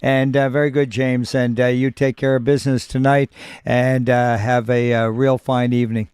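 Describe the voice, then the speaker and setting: A man acting as net control on a ham radio net, chatting in a friendly, folksy way with lots of fillers and pauses. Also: medium pitch, flat delivery, darkish tone, clean tone, dry recording. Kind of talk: radio net operating chat.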